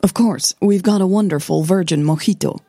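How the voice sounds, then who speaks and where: A woman voicing a polite male waiter with courteous, brisk service patter in an audio drama. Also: on the low side, warm tone, no room sound, studio quality.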